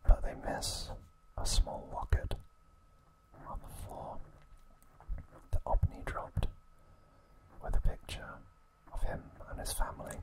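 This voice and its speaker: A man hosting an ASMR recap, speaking in a soft, breathy, unvoiced whisper with a gentle delivery. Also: takes it slowly, long pauses, low-quality recording. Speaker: ASMR host.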